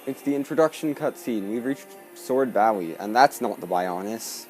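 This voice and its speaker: A man's voice: medium pitch, lively intonation, normal speed, a little mellow, poor audio. A man giving casual let's play commentary over a video game. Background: faint game music.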